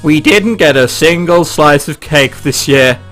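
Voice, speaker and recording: A man's voice: on the high side, measured pace, a bit bright, soft background music. A man who is a let's player reading a visual novel aloud dramatically, with exclamatory, sweary joking commentary.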